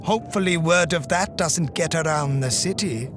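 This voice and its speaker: An actor playing a cautious, sharp-tongued companion in an audio drama, with a dry, needling manner. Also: male voice, fairly high pitch, moderate pace, noisy background, natural voice. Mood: shrewd. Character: wary, sharp-tongued male companion of a monster hunter.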